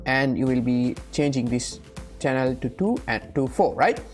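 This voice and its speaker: Low-pitched woman giving a software tutorial. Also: animated, moderate pace, soft background music. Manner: frequently checks in with "right?". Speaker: tutorial host. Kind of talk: informal step-by-step software tutorial.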